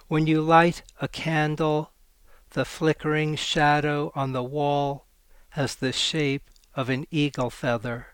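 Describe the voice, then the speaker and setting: A man, a poet, reading his poems aloud in a slow, measured way and talking about his work. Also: medium pitch, a bit bright, clear, resonant tone, no room sound. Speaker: poet guest. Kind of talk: poetry reading.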